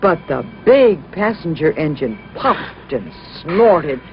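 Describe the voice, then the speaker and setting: A woman tells a children's story with lively swings of pitch and dramatic emphasis. She takes her time over the words. The sound is dull and lo-fi, with clear music behind her. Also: takes it slowly. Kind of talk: expressive scripted children's story narration.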